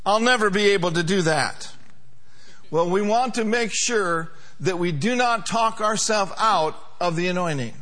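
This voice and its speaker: A man's voice: sits high, a little slow, fairly bright tone. A male pastor preaching a sermon to a congregation, emphatic, with call-and-response.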